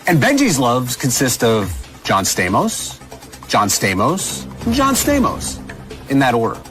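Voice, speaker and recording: A man's voice: mid-range voice, wildly swooping pitch, a little slow, fairly bright tone, soft background music. A man presenting a show in a cheeky, joking way with scripted comedic banter. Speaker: show host.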